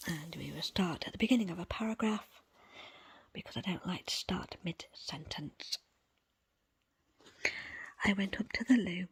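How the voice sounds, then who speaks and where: A woman whispers her way through a book reading in ASMR style. Her voice is very breathy and almost entirely unvoiced, with a slightly low, crackly edge. The phrasing is hushed and measured, broken by small pauses. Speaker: book reader presenting an audiobook extract.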